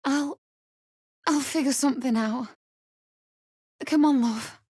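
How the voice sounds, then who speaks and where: A woman's voice: high-pitched, takes it slowly, a little mellow, dry recording, studio quality. A woman playing a distraught young pregnant widow in a video game, emotional and pleading, earnest and defiant in her grief. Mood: weary, quiet.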